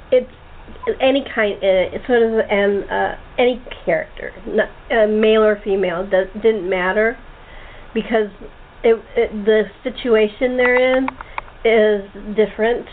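A woman hosting a casual, chatty group interview as the playwright and director. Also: on the high side. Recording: tinny phone-like call with hiss.

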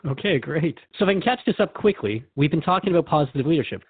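A man's voice: a little high, lively intonation, quick pace, breathy edge, no room sound. A man hosting a radio show in an earnest, inquisitive, conversational manner, recorded dry and somewhat muffled.

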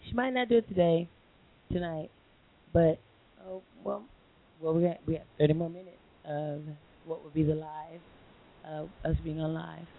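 A hoarse woman hosting a live call-in internet radio show, chatting in a rambling way with many fillers and restarts. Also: deep voice, fairly even intonation, moderate pace. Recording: lo-fi phone line with faint hiss.

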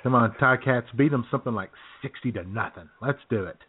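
A man's voice: mid-range voice, fairly quick, husky. An American man chatting casually as a talk show co-host, with an easygoing manner. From United States. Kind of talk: casual banter. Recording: thin phone-like audio.

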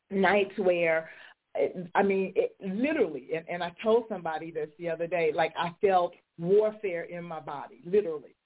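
A woman's voice: fairly low pitch, normal speed. A woman hosting a faith talk show, speaking fervently and preaching, congested and recovering from illness. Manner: fervent, decreeing, rising to preaching fervor. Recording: tinny phone-line sound.

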